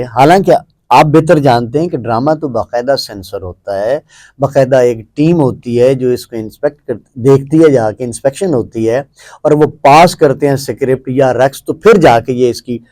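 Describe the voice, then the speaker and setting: A Pakistani man works as an interviewer, building long questions out of repeated, emphatic points. His tone is warm and dark, with English words mixed in. The sound is dry, with very little room around it. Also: medium pitch, expressive, no echo.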